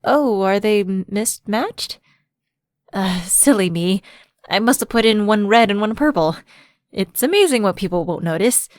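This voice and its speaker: A woman's voice: medium pitch, expressive, a little slow, no room sound, natural voice. A woman playing a personal assistant who is secretly a fallen angel, evasive and coaxing, in a conversational ASMR roleplay. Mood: nervously breezy.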